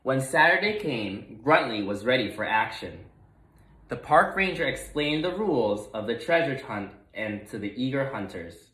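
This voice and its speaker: A man's voice: medium pitch, sing-song, normal speed, bright, forceful tone. A man narrating a children's picture book expressively as a read-aloud storyteller, in a roomy recording.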